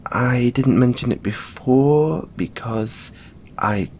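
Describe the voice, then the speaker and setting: Man playing a slow-spoken, shy owl boy librarian. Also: medium pitch, slight background noise. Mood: hesitant, coy. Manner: soft-spoken, hesitant, gently bashful. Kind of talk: audio roleplay addressed to the listener.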